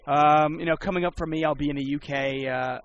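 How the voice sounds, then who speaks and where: A man's voice: a little high, fairly even intonation, brisk, highs cut off, a little hiss or hum. A man who works as a poker and sports broadcaster, chatting casually on a podcast and rambling with many restarts.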